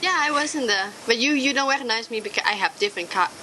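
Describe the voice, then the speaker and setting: A woman's voice: a little high, animated, fast. Woman over noisy webcam. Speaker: guest, maid cafe performer. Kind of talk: casual interview over video call.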